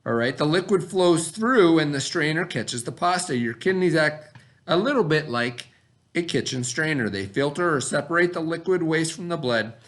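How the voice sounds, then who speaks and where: A man's voice: a little high, lively intonation, normal speed, a bit bright. A middle-aged man reading a lesson aloud to children as their teacher, with simple, instructive explanations.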